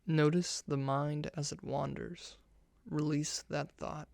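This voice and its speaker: Soft-spoken man with gravelly fry, very dry sound. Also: a little high, flat delivery, dry recording. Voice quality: soft, hushed. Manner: gentle, measured delivery in short phrases. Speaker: ASMR host guiding a meditation. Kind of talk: soft-spoken ASMR guided meditation.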